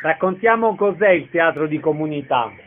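A man's voice: fairly high pitch, expressive, moderate pace. A man hosting a radio interview, introducing the guest and putting short, concise questions. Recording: narrow phone-like band, faint background noise.

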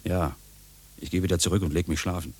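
A man's voice: low voice, fairly even intonation, a little fast, a little mellow, faint music behind, close to an everyday voice. An actor in a radio play voicing a grumpy, stubborn man who is sarcastic and blustering. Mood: sarcastic, sullen. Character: grumpy, stubborn, lazy man scolding and griping. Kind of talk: German radio play acting.

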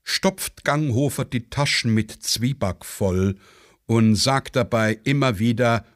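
A man narrating a radio play, reading stage directions in a measured, deliberate way. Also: mid-range voice. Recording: dry studio sound with faint music.

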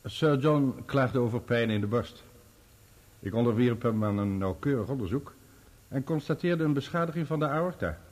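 An actor playing a dignified doctor giving formal, factual testimony in a radio play. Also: male voice, mid-range voice, lively intonation, moderate pace, darkish tone, natural voice. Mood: grave, factual. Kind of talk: radio play courtroom acting.

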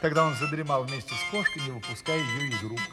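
A man telling a children's fairy tale as an expressive, theatrical narrator. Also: mid-range voice, quick pace, long reverb tail, over loud music. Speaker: storyteller, narrator.

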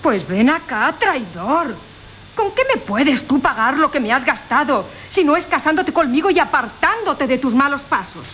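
An actress playing a haughty, flirtatious, sharp-tongued widow in a theatrical radio comedy, tart and imperious, with a Castilian accent. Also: female voice, high-pitched, lively intonation, moderate pace. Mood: scheming, coy. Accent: Castilian Spanish. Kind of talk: theatrical Golden Age comedy radio acting. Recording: hissy 1980 radio drama recording.

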